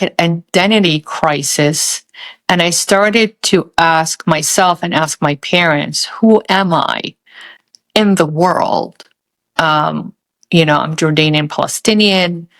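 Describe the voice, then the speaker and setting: A woman who grew up in Saudi Arabia, telling a personal story in a thoughtful, halting way with many pauses. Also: on the low side, a bit bright. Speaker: podcast host.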